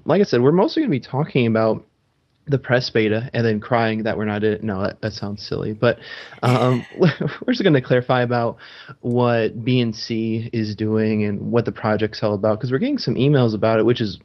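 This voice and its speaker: A man's voice: fairly low pitch, moderate pace. A man hosting a gaming podcast in casual chat, talking loosely with frequent ums and restarts. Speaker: podcast host. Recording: dull lo-fi sound.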